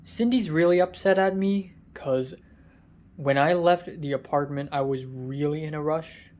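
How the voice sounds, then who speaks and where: A tired young man with a raspy voice, rambling through a casual vlog from a hotel room, halting with many ums. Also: a little high, a little mellow. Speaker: vlogger.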